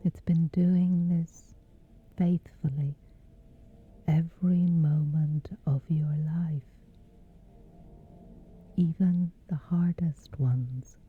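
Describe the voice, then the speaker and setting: Very slow, breathy low-voiced woman. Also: fairly even intonation. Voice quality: soft, breathy, whispery. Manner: gentle, soothing, measured with long pauses. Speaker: guided meditation host. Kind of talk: slow guided sleep meditation. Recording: dull muffled audio under music. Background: music.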